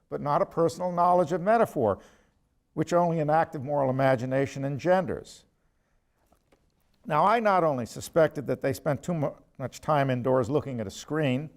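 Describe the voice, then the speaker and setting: An older man, a professor, lecturing from a prepared text in a deliberate, measured way with emphatic pauses, quotations and asides. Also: on the high side, animated, darkish tone. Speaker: ethics professor giving a lecture.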